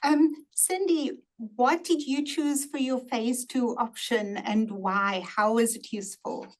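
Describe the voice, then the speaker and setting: Polite higher-voiced woman moderator. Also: fairly even intonation, slow, rough recording. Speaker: webinar moderator. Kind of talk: hosting a university webinar.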